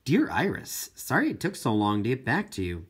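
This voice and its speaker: An audiobook reader voicing a friendly, encouraging female scientist reading out her letter in a measured, informal way. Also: mid-range voice, wildly swooping pitch, husky.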